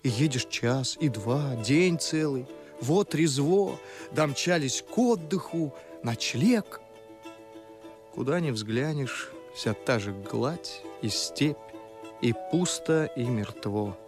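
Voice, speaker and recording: A brooding, disillusioned young gentleman from a classic radio play. He declaims slowly with bitter irony in a mid-pitched voice, with music behind him. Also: expressive. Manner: declamatory, reflective, sardonic. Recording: music under the voice.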